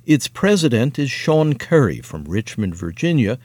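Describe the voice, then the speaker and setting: A man reading scripted narration for a broadcast feature, in a crisp delivery. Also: medium pitch, sing-song, moderate pace, a little mellow, no room sound. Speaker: radio narrator.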